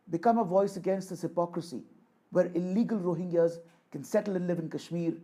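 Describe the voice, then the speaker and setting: A man giving a rhetorical political speech in a declamatory, deliberate manner, repeating phrases for emphasis. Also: on the high side, warm tone, breathy edge. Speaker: orator reading a voice sample.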